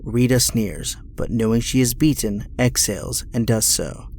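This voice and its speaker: A man's voice: medium pitch, moderate pace, darkish tone. A man narrating an audio drama in a scripted, dramatic storytelling style.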